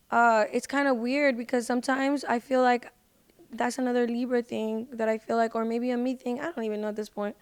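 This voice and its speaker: A woman with a smooth, fairly high voice talks casually as a podcast guest. Her pitch stays in a narrow, even band. She phrases things informally, leaning often on "like" and "you know". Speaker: podcast guest, singer and social media personality. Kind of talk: casual chat.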